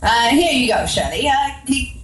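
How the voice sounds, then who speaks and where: Woman acting haughty, exasperated policewoman, high and echoey. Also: expressive, moderate pace, crowd noise. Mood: weary. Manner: haughty, scolding. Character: exasperated, smug, bossy policewoman minding two drunks. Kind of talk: live comedy radio drama acting. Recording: echoey stage, distant mic, music behind.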